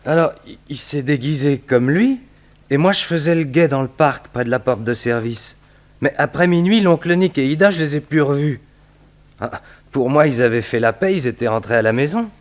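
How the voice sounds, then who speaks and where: A man's voice: mid-range voice, expressive, normal speed, natural voice. An actor playing a guileless, chatty young man who rambles naively, in a 1960s French radio serial. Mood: naive, earnest.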